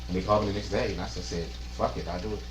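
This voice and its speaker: A man with a deep voice from Hampton, Virginia, telling his story with hesitant ums and a low-key delivery. He sounds set back from the microphone in an echoey room with background noise. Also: fairly even intonation, racing, recorded from a distance. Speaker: barber. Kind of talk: casual storytelling.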